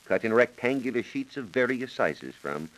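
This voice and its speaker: An American man giving measured, precise instructions as a science reporter on a scripted 1940s radio program. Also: medium pitch, sing-song, fairly bright tone, low-quality recording. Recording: 1940s radio broadcast recording.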